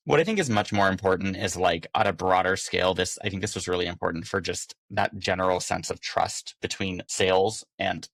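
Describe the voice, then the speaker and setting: A man talks informally and at length as an interview guest, slipping into imagined quotes. His voice sits fairly low with plenty of vocal fry, and the recording is close and dry. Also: a bit bright, no room sound. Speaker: podcast guest, business operations director. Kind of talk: casual, conversational interview answers.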